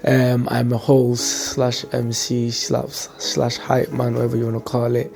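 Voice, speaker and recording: A man's voice: medium pitch, monotone, unhurried, gravelly, darkish tone. A man from Ghana who works as a host and hype man, introducing himself in an upbeat way.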